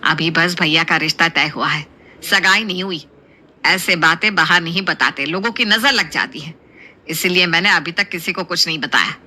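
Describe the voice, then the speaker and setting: A woman's voice: on the low side, moderate pace, pushed and bright, pitched below the performer's own voice. A woman voicing a middle-aged Indian mother in a cartoon story, scolding and placating with exclamations. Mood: hushed scolding. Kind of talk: Hindi cartoon story voice acting.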